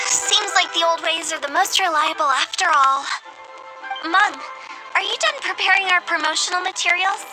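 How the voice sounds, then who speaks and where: A woman's voice: very high-pitched, normal speed, poor audio. A woman playing a cheerful, pushy, eccentric young funeral director in a video game, with theatrical sales patter. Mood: confident. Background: game music.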